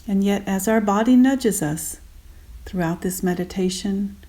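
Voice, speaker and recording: A woman guiding a meditation gently and unhurriedly in short spaced phrases, with soft, breathy endings. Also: medium pitch, a little slow. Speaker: meditation guide. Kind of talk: guided meditation.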